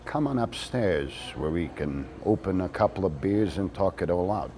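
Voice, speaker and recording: A man acting a theatrical monologue as a wry former activist, speaking deliberately with dramatic pauses. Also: low-pitched, animated, dark tone, a little hiss or hum. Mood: earnest, persuasive. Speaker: actor reading a monologue.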